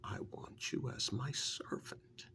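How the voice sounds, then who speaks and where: A man voicing a sly, sarcastic female witch in an ASMR role-play, soft, breathy and coaxing. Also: takes it slowly. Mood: coaxing, matter-of-fact.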